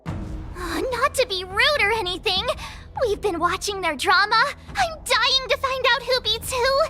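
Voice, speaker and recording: Very high-pitched, brash young swordswoman. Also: lively intonation, a little slow, music in the background. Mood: cocky. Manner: brash, cheeky, confident. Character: cocky, blunt young swordswoman, a general's granddaughter. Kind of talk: video game voice acting.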